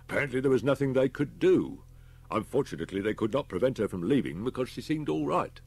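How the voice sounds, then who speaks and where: A man's voice: mid-range voice, lively intonation, fast talker, a little mellow, husky, no room sound, voice pushed up. A man with a British accent voicing a young detective inspector reporting plainly in an audiobook. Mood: factual. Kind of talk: audiobook character voice.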